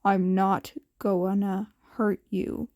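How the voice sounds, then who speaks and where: A woman voicing a blunt, protective grown man in a podfic story, with a dry, deadpan manner. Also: mid-range voice, very slow, voice pushed down. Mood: calm, reassuring. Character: gruff, dry but protective adult man, hero.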